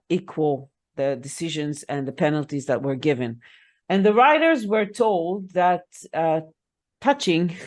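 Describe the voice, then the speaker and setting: A woman co-hosting a casual podcast chat in her second language, explaining at length with frequent fillers. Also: low-pitched. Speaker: podcast co-host.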